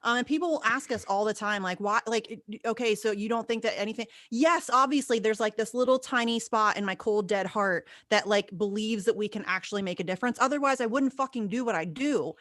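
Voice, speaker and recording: A woman's voice: on the high side, fairly bright tone, dry recording. Blunt cynical woman, dry close sound. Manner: blunt, cynical, quick agreement. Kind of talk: casual chat. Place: home office with bookshelves. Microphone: studio mic on stand.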